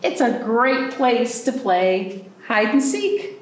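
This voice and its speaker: A woman hosting a library storytime, reading picture books and chatting to young children in an animated way with simple questions. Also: a little high, slow pace. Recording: roomy, distant mic with background noise.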